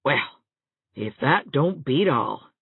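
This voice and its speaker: A woman's voice: a bass voice, lively intonation, takes it slowly, creaky, husky, no echo, phone-quality sound, full character voice. A woman voicing a plain-spoken, astonished country man in a storybook reading.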